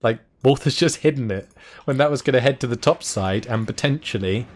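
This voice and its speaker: A man with a hoarse, worn voice that he is losing, giving excitable live play-by-play commentary on a strategy game match and laughing often at surprising plays. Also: mid-range voice, fairly quick. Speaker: game caster.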